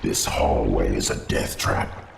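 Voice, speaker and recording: A man voicing an ancient undead spider lord in a video game, gravelly, grave and portentous in delivery. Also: rumbling low, slow pace, exaggerated voice. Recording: echoing, with loud game noise.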